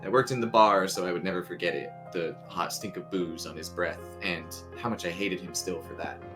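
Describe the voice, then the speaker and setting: A man's voice: low-pitched, a little fast. A man reading a creepy story aloud as its narrator, with music playing underneath. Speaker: story reader.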